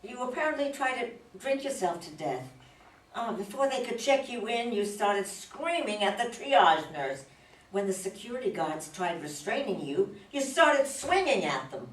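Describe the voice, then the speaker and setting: An actress in a stage play reading, playing a matter-of-fact nurse in her forties with a halting delivery, in an echoey room away from the mic. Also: medium pitch, very animated. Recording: roomy, distant mic.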